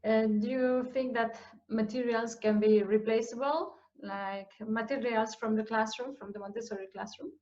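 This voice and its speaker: A woman's voice: on the high side, steady tone, moderate pace, hardly any pauses, a little mellow. A woman hosting an interview over a video call, asking short questions and murmuring agreement. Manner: brief prompts and affirming murmurs. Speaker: interviewer, conference host. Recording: dull lo-fi call audio.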